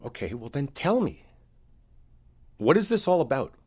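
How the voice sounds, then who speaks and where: A man playing a frustrated, wounded boyfriend in a one-sided audio roleplay, talking in a naturalistic, halting way with a husky voice. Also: mid-range voice, sing-song, a little mellow. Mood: exasperated, defensive. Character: frustrated, wounded boyfriend arguing with his partner.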